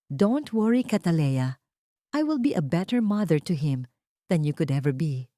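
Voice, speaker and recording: A woman's voice: deep voice, sing-song, moderate pace, mellow, dry recording, clean studio sound. Woman voicing a sugary, smug mistress. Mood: smug taunting. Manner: sugary, taunting. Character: sweet-voiced, smug, mocking mistress. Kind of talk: Tagalog audio drama.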